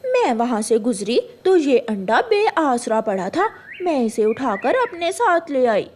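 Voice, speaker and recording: A woman's voice: high-pitched, big pitch swings, unhurried, a little mellow, slight background noise. A woman voicing a sly, demanding female crow in a children's cartoon. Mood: satisfied. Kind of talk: Hindi children's cartoon voice acting.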